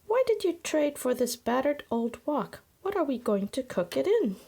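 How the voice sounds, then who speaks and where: Storybook mother, read by a woman: practical, worried, exasperated, high-pitched. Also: big pitch swings, moderate pace, gravelly, warm tone, full character voice.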